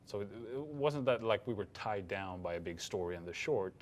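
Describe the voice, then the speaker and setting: A man's voice: lowish voice, animated, a little fast, slight background noise. A man from Sweden with a light Swedish accent, giving hesitant interview answers full of fillers and restarts. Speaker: film director.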